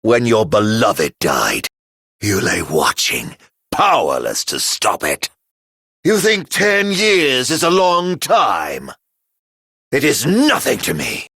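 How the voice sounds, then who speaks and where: A grandiloquent immortal male villain from a video game, mocking and hungry for revenge. The voice is deep and rough with gravel, drawn out very slowly as he savors each sardonic phrase. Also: huge pitch swings, no room sound, studio quality, full character voice. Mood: bitter, contemptuous. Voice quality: gravelly, husky. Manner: drawling, grandiloquent, sardonic, swinging from murmurs to shouts.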